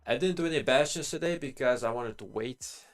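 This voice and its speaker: A man's voice: medium pitch, fairly quick, soft background music. A man giving casual, rambling game commentary as a live streamer, thinking aloud in informal language. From Bosnia and Herzegovina.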